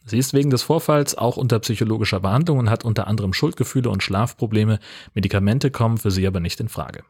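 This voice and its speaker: A man's voice: fairly low pitch, quick pace, darkish tone, husky. A man co-hosting a film podcast with an informal, conversational style. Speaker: podcast co-host. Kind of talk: casual film podcast chat.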